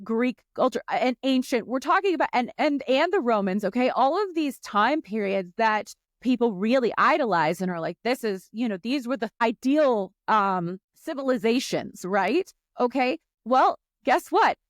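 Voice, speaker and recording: Woman, from the Middle East, high voice, dry close sound, animated co-host. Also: moderate pace, hardly any pauses, no echo. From Egypt and the Middle East. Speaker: podcast co-host, former special ed teacher. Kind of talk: casual panel chat.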